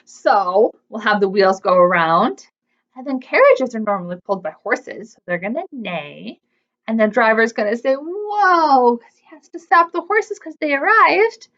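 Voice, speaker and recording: A woman's voice: on the high side, big pitch swings, moderate pace, fairly bright tone, highs cut off. A woman hosting a preschool storytime, speaking expressively in simple child-directed phrases. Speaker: children's librarian, storytime host. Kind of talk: animated read-aloud storytime for preschoolers.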